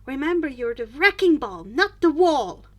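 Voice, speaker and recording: A woman's voice: big pitch swings, normal speed. A woman voicing a timid, anxious teenage boy student with a stammer, in a storybook reading. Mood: friendly, reassuring. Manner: stammering, squeaky, flustered.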